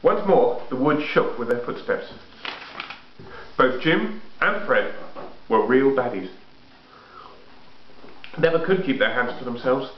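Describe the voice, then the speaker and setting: A man's voice: mid-range voice, animated, slow, pauses often, slight background noise. Man reading a storybook in an echoey room. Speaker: reader of a children's story. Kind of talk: storybook read-aloud. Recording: echoey room, muffled home recording.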